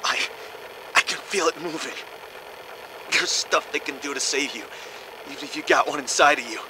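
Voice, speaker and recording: A man's voice: on the high side, moderate pace, noisy background, close to an everyday voice. A man playing a trapped, doomed young marine in a video game, recording a shaky, emotional last message. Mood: frightened, pleading. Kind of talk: dramatic video game monologue.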